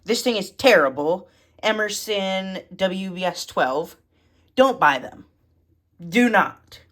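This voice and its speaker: A woman's voice: medium pitch, lively intonation, slow pace, fairly bright tone. A woman giving a casual, matter-of-fact show-and-tell of a hobby collection in short, clipped phrases, recorded indoors at home.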